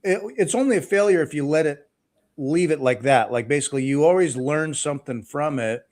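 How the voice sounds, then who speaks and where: A man hosting an interview, easygoing and folksy, talking in a relaxed way with sayings and fillers. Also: fairly high pitch, brisk. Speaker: podcast host. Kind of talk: casual interview conversation.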